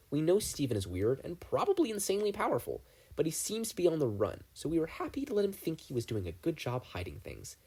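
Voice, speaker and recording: A reader voicing a teenage girl who speaks softly and reproachfully. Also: medium pitch, breathy edge, voice pushed up, full character voice. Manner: soft, steady, reproachful.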